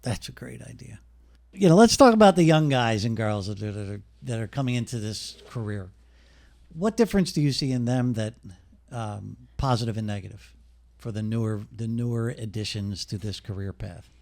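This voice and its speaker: A man's voice: on the low side, lively intonation, moderate pace, vocal fry, darkish tone. A man chatting and asking questions casually as a podcast co-host. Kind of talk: casual conversational interviewing.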